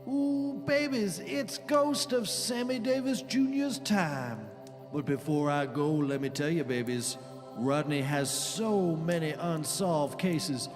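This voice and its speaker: A man's voice: a little high, in a large hall, over music. A man playing the showy, teasing ghost of a male lounge entertainer in a scripted audio comedy, drawing out his words. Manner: showy, drawn-out, calls listeners babies.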